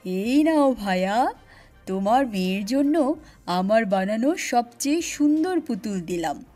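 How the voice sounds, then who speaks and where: A woman voicing a friendly village man who sells dolls, with loud, drawn-out calls, in a children's cartoon story. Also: fairly high pitch, expressive, faint music behind. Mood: kindly. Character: friendly male village doll seller calling his wares.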